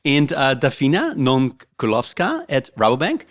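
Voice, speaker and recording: A man's voice: medium pitch, huge pitch swings, measured pace. A man hosting a podcast interview, talking casually and hesitantly with many fillers. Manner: warm, hesitant, many ums. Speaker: podcast host.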